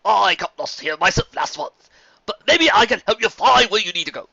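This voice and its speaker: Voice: medium pitch, animated, moderate pace, creaky, bright, forceful tone, slightly rough, no echo, muffled recording, pitched above the performer's own voice, heavily acted. Reader as a polite unicorn tour guide. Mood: helpful. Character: friendly male unicorn tour guide, helpful.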